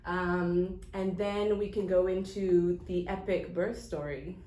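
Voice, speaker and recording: A woman hosting a casual interview, bubbly and quick to laugh and affirm. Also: fairly low pitch, steady tone, dark tone, roomy sound, poor audio. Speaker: podcast host.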